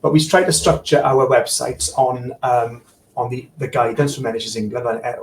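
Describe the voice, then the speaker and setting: A man's voice: medium pitch, steady tone, normal speed, a little hiss or hum, rough recording. A man, a guest explaining his digital services in casual chat over a video call, with many fillers and repeated words. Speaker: guest, digital services supplier and former practice manager.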